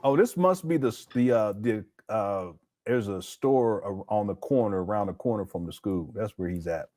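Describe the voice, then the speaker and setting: A man's voice: fairly low pitch, normal speed, dark tone. A man commenting live on a video clip, laughing often and teasing with sarcasm. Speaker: commentator reacting to a livestream.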